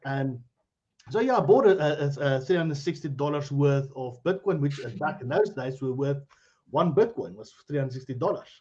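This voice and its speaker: A middle-aged South African man with a voice pitched a little above the usual, chatting easily as a guest. His speech meanders with asides and fillers. The sound is muffled and lo-fi, as from an online call. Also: expressive, moderate pace, dark tone. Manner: chatty, rambling, laughs easily. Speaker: guest, cryptocurrency educator. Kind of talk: casual interview over a live stream. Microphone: video call.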